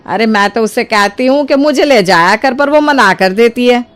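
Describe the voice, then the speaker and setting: A woman voicing a trusting, soft-hearted older mother-in-law in a cartoon moral story, with an earnest, expressive delivery. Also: a little high, moderate pace, smooth, lightly acted. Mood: eager, kindly. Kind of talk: animated Hindi moral story dialogue. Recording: music behind the voice. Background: music.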